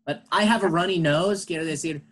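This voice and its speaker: A man's voice: a little high, brisk, noisy background. A man teaching an online English class, patiently explaining in English and Spanish.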